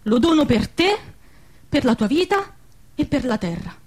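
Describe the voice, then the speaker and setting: A woman playing a poor, devoted village wife in a wartime radio drama, with a central Italian dialect accent from southern Lazio and an earnest, expressive manner. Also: high voice, unhurried, husky, full character voice. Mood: tender, solemn. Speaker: radio play actress.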